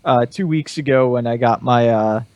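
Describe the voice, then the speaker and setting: A man's voice: mid-range voice, normal speed, a little mellow, dry recording, dull audio. A man in casual conversation as a podcast panelist. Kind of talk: casual chat.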